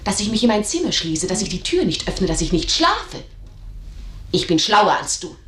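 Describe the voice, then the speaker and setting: A woman's voice: medium pitch, very animated, normal speed, a bit bright, slight background noise. An actress in a German radio drama playing a passionate young woman, impatient and fiercely defiant. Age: young adult. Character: defiant, passionate young daughter of a strict household. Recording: roomy, echoing radio drama recording.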